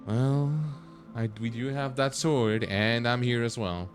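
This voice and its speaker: A man's voice: mid-range voice, measured pace. A man giving casual live commentary on a video game, with dry, wry asides. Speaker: streamer. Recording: game music behind the voice. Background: game music.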